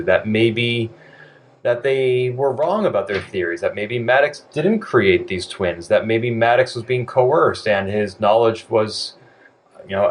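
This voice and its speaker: A man co-hosting a podcast, chatting casually with a wry, relaxed manner. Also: mid-range voice, slightly rough. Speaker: podcast co-host. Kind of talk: casual chat.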